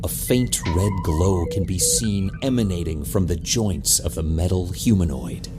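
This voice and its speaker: A man reading scripted audio drama narration as a deliberate, descriptive storyteller. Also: deep voice, music in the background.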